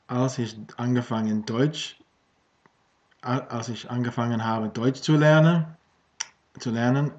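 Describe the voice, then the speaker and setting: A man in his thirties from Australia, a native English speaker, talking casually in a learned language with many fillers and restarts, filmed by a webcam at home. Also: mid-range voice. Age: 30s. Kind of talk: casual vlog in German. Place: small room at home with bookshelves. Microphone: webcam at desk. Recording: dull lo-fi webcam audio.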